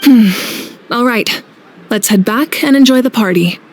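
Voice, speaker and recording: A woman playing a resolute, thoughtful female leader in a video game, speaking in a measured, assured way. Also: fairly high pitch, a little hiss or hum, close to an everyday voice. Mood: assured, encouraging. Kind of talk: video game cutscene acting.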